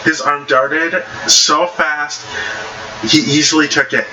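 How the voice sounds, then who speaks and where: A man's voice: on the high side, flat delivery, slow, muffled recording. A man casually reading online stories aloud, stumbling over words at times and adding blunt, slangy commentary, with noisy background ambience. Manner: blunt, slangy, informal reactions. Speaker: online story reader and commentator.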